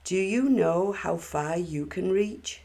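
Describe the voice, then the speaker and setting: A woman voicing a serene, insightful young girl in a children's storybook, with a gentle, questioning manner. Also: fairly low pitch, takes it slowly. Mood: serene wonder. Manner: gentle, wondering, asks questions. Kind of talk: children's storybook read aloud.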